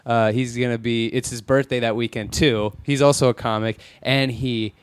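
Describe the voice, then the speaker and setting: A man, a comedian guest, chatting casually in a halting, rambling way. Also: medium pitch, fairly even intonation, smooth, no echo. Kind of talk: casual chat.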